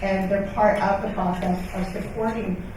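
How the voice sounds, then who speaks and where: A young woman from Nigeria speaks on a conference panel in a measured, factual way. Her voice sounds distant in a large, echoing hall. Steady room noise sits behind her. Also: mid-range voice. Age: young adult. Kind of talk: panel discussion.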